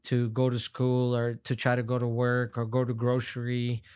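A man's voice: mid-range voice, monotone, normal speed, darkish tone, smooth. Rambling young man from Afghanistan. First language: Farsi. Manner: rambling, repeats words, heartfelt. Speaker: guest fighter. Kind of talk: casual interview chat.

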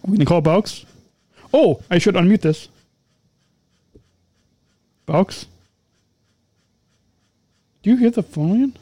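High-voiced man wrapping up a podcast. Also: lively intonation, takes it slowly, pauses often, mellow, husky, dry recording. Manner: repetitive, stumbling, upbeat. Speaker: podcast co-host. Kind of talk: casual podcast sign-off.

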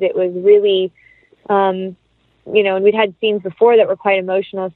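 A woman's voice: medium pitch, dry recording, narrow, tinny audio. A woman chatting casually and informally as an actress being interviewed, rambling as she explains. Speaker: interview guest, film actress.

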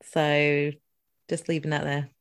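A woman's voice: sits low, level tone, slow, smooth. Giggly Scottish woman co-hosting a podcast. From Scotland. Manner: giggly, teasing, sweary. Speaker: podcast co-host. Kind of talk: casual chat.

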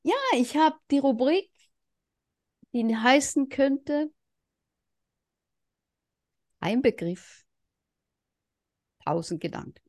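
Podcast co-host, woman, playful, unhurried with lively pitch. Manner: playful, giggly. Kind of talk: casual chat.

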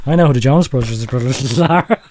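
A man's voice: mid-range voice, fairly quick, a little mellow, a little hiss or hum. A man co-hosting a rock radio show, joking crudely and laughing often between letters. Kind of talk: joking banter reading listener letters.

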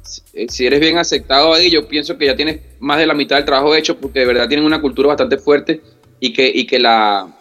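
A man's voice: mid-range voice, a little fast, a bit bright, faint music behind. A man from Venezuela, a reggae artist, answering interview questions in an earnest way, often repeating words and checking that he is understood.

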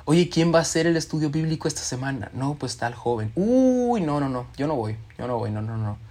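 A man delivers a sermon. He reads scripture aloud and acts out quoted speakers with expressive shifts in his voice. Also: a little high, brisk. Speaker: preacher.

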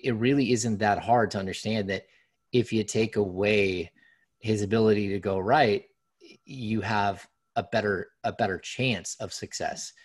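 A man hosting a sports podcast with a raspy voice, talking loosely and conversationally with lots of fillers and restarts. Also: low-pitched. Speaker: podcast host. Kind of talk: casual sports podcast talk.

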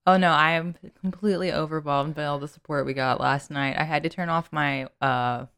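Young woman cohost, teasing and giggly, super fried gravelly voice. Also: sits low, fairly even intonation, fairly quick, fairly bright tone. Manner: laughs often, teasing. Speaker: podcast co-host. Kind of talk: casual chat.

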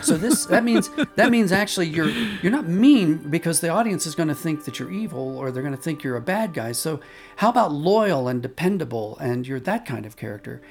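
A man's voice: a little high, lively intonation, a little fast, gravelly, soft background music. A man being interviewed as an audio drama producer, explaining conversationally and telling anecdotes with quoted dialogue.

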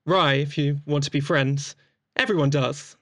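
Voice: medium pitch, steady tone, measured pace, no echo, studio quality, close to an everyday voice. An actor of androgynous voice playing a sociable, playful doctor in a chatty audio drama, exuberant, informal and teasing. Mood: friendly, joking. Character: breezy, sociable, playful doctor at a space clinic.

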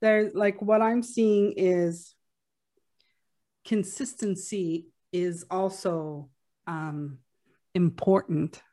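A woman's voice: fairly low pitch, steady tone, extremely slow, long pauses, dark tone. A woman in her fifties hosting a podcast, chatting openly about herself with frequent restarts. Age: 50s. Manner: chatty and self-revealing, with frequent restarts and laughs. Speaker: podcast host. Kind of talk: casual interview chat.

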